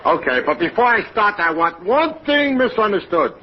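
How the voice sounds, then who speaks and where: A muffled old radio recording with some noise carries a belligerent American tough-guy waiter in a comedy show. His voice is high for a man, gruff and slangy, always ready to threaten. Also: sing-song, normal speed. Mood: surly, threatening. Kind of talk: 1940s radio comedy acting.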